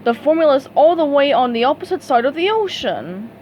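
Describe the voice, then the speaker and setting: A woman's voice: sits high, sing-song, a little fast, a little mellow, a little hiss or hum, pitched above the performer's own voice. A woman voicing a grumbling, stern older male crab boss in a storybook reading.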